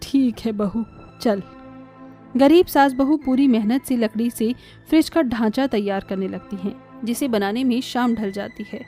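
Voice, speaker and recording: A woman reading scripted narration for an animated story as the storyteller. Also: on the high side, moderate pace, soft background music.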